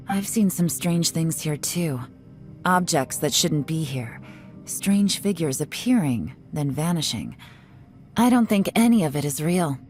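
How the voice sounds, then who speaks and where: Cool female adventurer, lowish voice, sardonic, self-assured, game drama over music. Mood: cool, watchful. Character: cool, shrewd, skeptical adult woman adventurer. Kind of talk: dramatic video game voice acting. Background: faint game soundtrack music.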